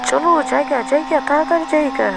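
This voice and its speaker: A woman's voice: high voice, steady tone, fast, gravelly. A woman giving casual video game commentary, narrating each of her actions in short, repetitive phrases. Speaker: gamer presenting a gameplay video. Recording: noisy recording with vehicle and siren sounds.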